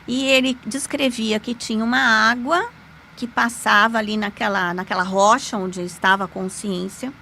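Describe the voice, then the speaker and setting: A woman, a podcast guest, telling a personal story in an animated, emphatic way with sudden pitch jumps. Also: moderate pace, a little hiss or hum. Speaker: podcast guest, lawyer and magic teacher. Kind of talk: conversational storytelling.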